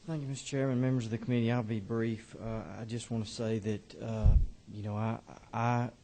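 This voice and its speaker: A man, a state representative, giving halting, earnest remarks to a committee on his bill. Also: on the low side, little pitch movement, mellow, slight background noise. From Georgia. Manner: earnest, halting with many fillers.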